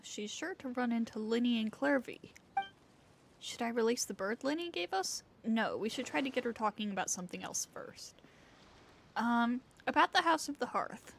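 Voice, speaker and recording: A woman's voice: a little high, big pitch swings, moderate pace, gravelly, a little hiss or hum. An actress playing an earnest, watchful young woman traveler in a video game, pensive and careful, over music. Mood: hesitant, planning. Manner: pensive, careful internal monologue. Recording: music behind.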